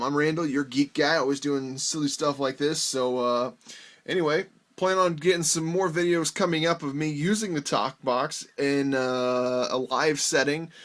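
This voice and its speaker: An American man presents a do-it-yourself music gear demonstration in an average-pitched voice. He talks informally and without a script. His delivery is halting, as if working out each explanation aloud while he handles things. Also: a bit bright. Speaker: host of a DIY music gear video. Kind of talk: casual hands-on demonstration, unscripted.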